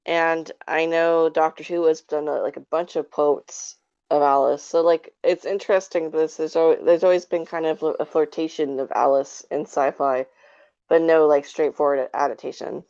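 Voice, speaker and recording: Low-voiced woman in casual discussion. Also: steady tone, normal speed, a little mellow, clean tone, dry recording. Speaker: podcast panelist. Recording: slightly dull, lo-fi audio.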